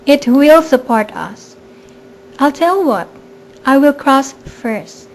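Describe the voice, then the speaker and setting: A woman playing a scheming, greedy murderess in an amateur radio drama, falsely sweet and coaxing, with smooth reassurance. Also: high voice, lively intonation, slow, heavily acted, rough recording. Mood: falsely reassuring. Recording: faint background noise, amateur recording.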